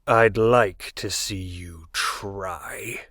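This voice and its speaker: A man playing a surly, taunting robot officer with a husky voice in an audio drama. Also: deep voice, extremely slow, fairly bright tone, no room sound, studio quality, heavily acted. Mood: scornful.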